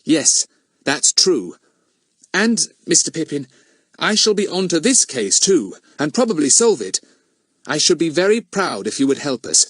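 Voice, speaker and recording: A man's voice: on the high side, big pitch swings, a little slow, a bit bright, husky, no room sound, voice pushed up, full character voice. A man voicing a confident, earnest teenage boy detective in an audiobook, well-spoken, polite and persuasive with a cheeky streak. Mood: confident, eager. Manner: well-spoken, persuasive, eager schoolboy phrasing.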